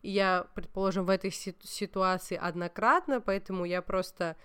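A young woman chatting casually, telling animated stories full of fillers and asides. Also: medium pitch, normal speed, smooth. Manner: animated storytelling, many fillers. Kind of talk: casual chat.

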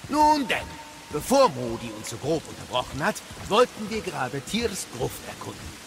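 A cheeky, chatty companion with a very animated, high-set male voice. His jaunty, theatrical lines ring with long reverb, in audio play style. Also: moderate pace, creaky, slight background noise. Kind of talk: dramatic audio play acting.

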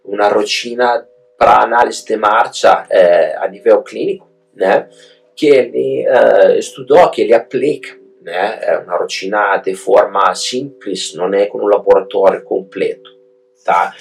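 An Italian man speaks with a low, somewhat gravelly voice and a clear Italian accent. He hosts a live webinar and talks casually, pausing on fillers and tag questions. Soft music plays faintly behind him. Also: low-quality recording. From Italy. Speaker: host.

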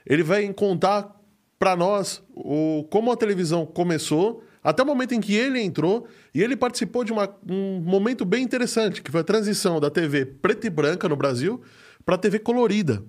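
A Brazilian man with a fairly high voice for a male speaker hosts a podcast. He chats casually, full of slang and jokes, keeping everything informal. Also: animated, brisk. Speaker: podcast host. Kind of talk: casual chat.

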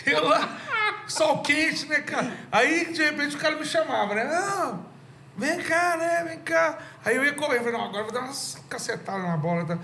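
Animated man telling stories. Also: very high voice, normal speed, vocal fry, background noise. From Brazil. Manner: animated, laughing storyteller with many fillers. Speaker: podcast guest. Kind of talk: casual chat, storytelling.

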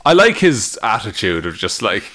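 A man's voice: on the low side, big pitch swings, normal speed, pushed and bright. A man co-hosting a podcast with casual comic banter, playfully riffing and chuckling as he talks. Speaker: podcast co-host.

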